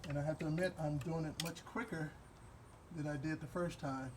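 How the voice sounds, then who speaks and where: A man's voice: fairly high pitch, noisy background. Wisconsin man talking through a car repair. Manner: relaxed, rambling, with fillers and pauses while working. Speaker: DIY car repair host. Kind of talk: hands-on repair walkthrough.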